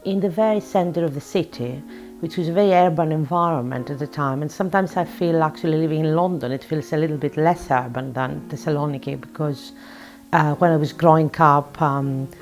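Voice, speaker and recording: Woman from Greece, forties, deep voice, reflective, hesitant fillers, soft music underneath. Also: fairly even intonation, normal speed. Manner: reflective, thoughtful, with frequent ums and uhs. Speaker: author and psychotherapist. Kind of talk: interview answers. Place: her office.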